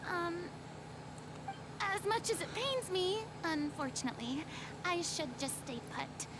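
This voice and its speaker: A woman's voice: very high voice, lively intonation, measured pace, noisy background. A woman playing a theatrical, self-important young lady in an anime fantasy game, speaking with dramatic, flowery delivery. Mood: sheepish. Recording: game music over the voice.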